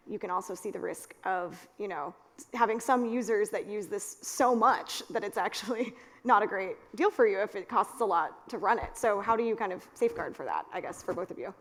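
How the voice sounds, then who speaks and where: Woman moderator in an echoing hall. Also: mid-range voice. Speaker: panel moderator. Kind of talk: conversational interviewing. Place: on stage at a conference. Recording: echoey hall with long reverb.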